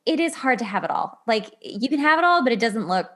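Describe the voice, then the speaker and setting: Woman, 30s, moderately high pitch, podcast host, casual conversational style, confiding, effusive. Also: lively intonation, a little fast, a bit bright. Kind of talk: casual interview chat.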